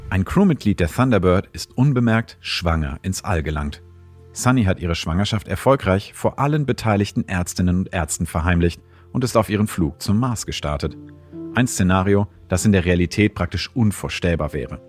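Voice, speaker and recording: Deep-voiced male documentary narrator. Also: expressive, moderate pace, soft background music. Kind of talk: scripted narration.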